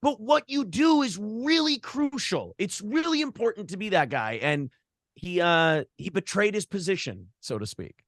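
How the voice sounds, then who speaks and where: An American man with a fairly high voice talks bluntly and casually in a podcast interview. He is opinionated and swears freely, like a seasoned radio host speaking off the cuff. The sound is dry and close. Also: big pitch swings, moderate pace, a bit bright, dry recording. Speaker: morning show host and former radio broadcaster.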